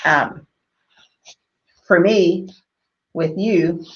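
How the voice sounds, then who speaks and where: A woman from the Bahamas co-hosting a marriage talk show, speaking thoughtfully and hesitantly with many pauses and fillers. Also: fairly low pitch, highs cut off. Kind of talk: conversational discussion.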